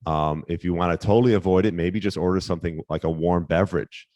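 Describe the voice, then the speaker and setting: A man with a very low, slightly hoarse voice, giving fitness tips on his podcast in a relaxed, rambling way. He pauses on fillers and restarts his sentences. The sound is dry and close to the mic. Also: no echo. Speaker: podcast host, fitness coach. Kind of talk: casual solo podcast tips.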